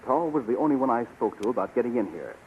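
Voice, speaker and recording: A confident, loyal messenger acted by a man, speaking formally and earnestly in 1930s radio serial style. The voice is mid-pitched, heard through a muffled, noisy old recording. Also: expressive, fast talker, natural voice. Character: loyal, confident male messenger, courtly and resolute.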